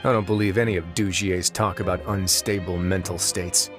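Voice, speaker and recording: An actor playing a calm, shrewd male duke in a video game, speaking in a measured, deliberate way with dry humor. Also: low voice, over music, lightly acted.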